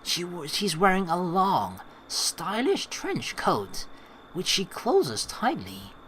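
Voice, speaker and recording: A woman's voice: on the low side, huge pitch swings, slow pace, fairly bright tone, a little hiss or hum. A woman hosting a game playthrough, reading text aloud and commenting in a rambling, casually sweary way. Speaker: let's play host. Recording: game music behind the voice.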